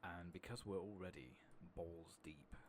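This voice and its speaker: A British man giving hushed, soft-spoken ASMR game commentary, often slipping into a whisper. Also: sits low, a little slow, a little hiss or hum. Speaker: game streamer.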